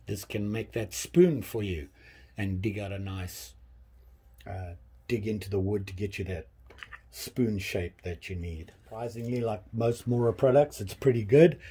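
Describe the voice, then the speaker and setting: Husky-voiced man showing off his tools. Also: on the low side. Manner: relaxed, rambling, with frequent "uh" and restarts. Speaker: hobbyist channel host. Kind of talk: casual show-and-tell vlog. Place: small workshop with pegboard tool wall, indoors.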